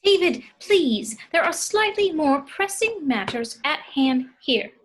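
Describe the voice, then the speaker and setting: Woman reading exasperated sensible girlfriend type, high voice, takes her time, home table read. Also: sing-song, slow, heavily acted. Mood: placating. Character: exasperated, level-headed young woman keeping the peace. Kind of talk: amateur table read of a film script.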